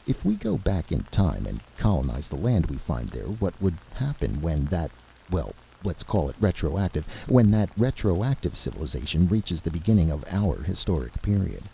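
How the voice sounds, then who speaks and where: A man voicing a cautious, earnest economics expert, formal and deliberate, in a story reading on a dull, noisy recording. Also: deep voice, gravelly, exaggerated voice. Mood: flustered. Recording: dull lo-fi audio with steady hiss.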